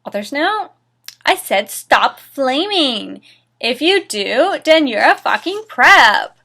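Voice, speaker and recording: A woman's voice: sits high, sing-song, slow, creaky, pushed and bright, voice pushed up, full character voice. A woman voicing a bratty, melodramatic goth teenage girl narrator, petulant and indignant in a story reading.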